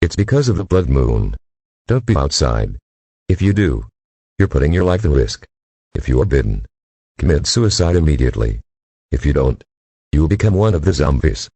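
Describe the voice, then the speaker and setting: A man's voice: rumbling low, very animated, normal speed, long pauses, dark tone, slightly rough, no echo. A man delivering a scripted emergency broadcast announcement in a stern, formal, synthetic-sounding manner.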